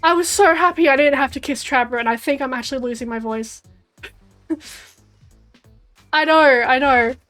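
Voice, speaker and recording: Australian woman streamer, twenties, hoarse, giggly, a touch high-pitched. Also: unhurried, pauses often, fairly bright tone, faint music behind. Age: 20s. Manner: giggly, chatty reactions. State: hoarse, losing her voice from screaming. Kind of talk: casual gaming commentary.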